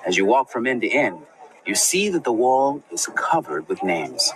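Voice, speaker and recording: A man delivers scripted narration for a children's book programme in a measured, reflective way. His mid-pitched voice rises and falls expressively. Ambient noise is clearly heard on the recording. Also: big pitch swings, a bit bright. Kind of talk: scripted documentary-style narration. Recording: clearly noisy soundtrack with ambient sound.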